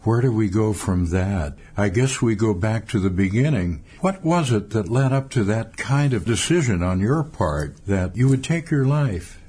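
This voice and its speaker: A male radio interviewer asks his guest questions. His voice is low-pitched with a husky edge. He keeps a gentle, sympathetic tone. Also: lively intonation, moderate pace, hardly any pauses.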